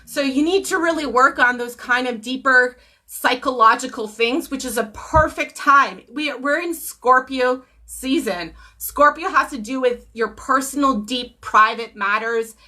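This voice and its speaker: A woman giving a casual live tarot reading. She is hoarse from a cold, clears her throat often, and uses many ums. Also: sits high, a little slow, sharp tone. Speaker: tarot reader.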